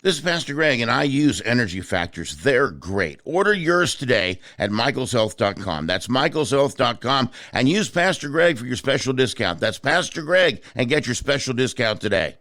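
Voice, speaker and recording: A man's voice: medium pitch, very animated, normal speed, hardly any pauses, fairly bright tone, no echo, clean studio sound. A man, a pastor, reading a scripted testimonial for an advertisement.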